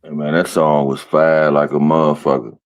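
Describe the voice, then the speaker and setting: A man chatting casually as a guest on a livestream, excitable, repeating words and swearing freely. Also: very deep voice, moderate pace. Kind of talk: casual chat.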